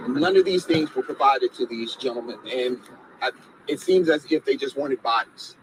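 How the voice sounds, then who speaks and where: A man's voice: medium pitch, low-quality recording. A man in his forties, an attorney, giving an outdoor press statement, stressing his points by repeating phrases. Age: 40s. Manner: emphatic, repeats phrases for effect. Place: outdoors by a gate. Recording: outdoor recording with clear background noise.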